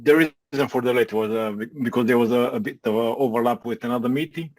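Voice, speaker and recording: A man originally from Bulgaria speaks English haltingly on a video call. He pauses and restarts often as he looks for words, and his voice sits dry and close to the mic. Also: mid-range voice, fairly even intonation, darkish tone, no echo. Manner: hesitant, halting, searching for words. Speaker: meetup attendee. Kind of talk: casual video call chat.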